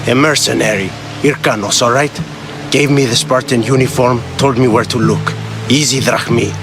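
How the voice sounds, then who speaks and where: A man's voice: medium pitch, fairly even intonation, normal speed, lightly acted. A man playing a cornered, defiant, cynical spy in a video game, with a sardonic delivery. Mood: bitter, sardonic. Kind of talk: video game cutscene acting. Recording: music behind the dialogue.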